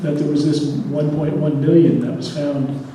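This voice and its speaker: A man giving a halting public comment at a community meeting, stumbling through fillers and restarts. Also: fairly high pitch, fairly even intonation, mellow. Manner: hesitant, many fillers and restarts. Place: large hall at a public meeting. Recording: echoey hall from far off, faint noise.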